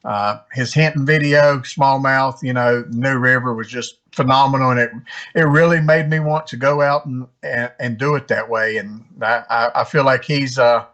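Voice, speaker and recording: A man from West Virginia talking casually as an interview guest, halting and often repeating his words. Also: mid-range voice, fairly even intonation, a bit bright, dull audio. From West Virginia, United States. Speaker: interview guest, amateur tournament angler.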